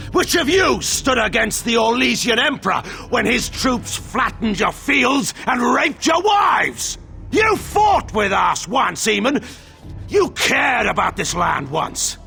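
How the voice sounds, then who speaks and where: Gravelly, proud warlord general. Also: male voice, high voice, bright, forceful tone, heavily acted. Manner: theatrical, imperious oratory. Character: proud, embittered middle-aged warlord general, commanding and grim. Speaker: voice actor in a fantasy role-playing game. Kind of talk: dramatic fantasy game cutscene acting.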